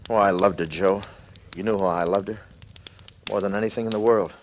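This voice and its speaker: Actor as low-voiced haunted man, old radio drama. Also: fairly even intonation, fairly quick, natural voice. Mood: haunted, grieving. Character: brooding guilty man, haunted and slyly unhinged. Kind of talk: 1940s radio drama acting. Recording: noisy old radio recording.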